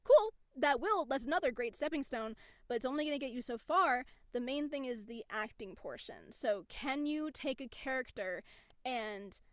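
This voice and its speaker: Woman, guest voice actor, casual animated talk, fairly high voice, dry close sound. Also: dry recording. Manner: animated, explains with quoted examples. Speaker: voice actor and casting director, guest.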